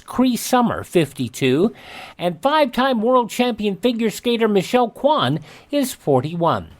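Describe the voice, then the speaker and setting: A radio host reading scripted segments in a folksy way, dropping in personal asides. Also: mid-range voice.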